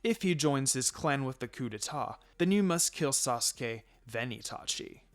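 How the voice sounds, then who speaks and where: A man voicing a sinister old village elder in a fan fiction story, measured and coldly threatening. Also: mid-range voice, animated, natural voice. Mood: menacing. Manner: measured, menacing.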